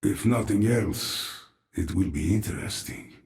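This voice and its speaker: A bitter, world-weary mutant outcast in a game cinematic. He is low and gravelly, talking slowly in an echoing space. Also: darkish tone. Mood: resigned. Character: bitter half-human, half-alien outcast, weary, sardonic. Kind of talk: video game cinematic acting.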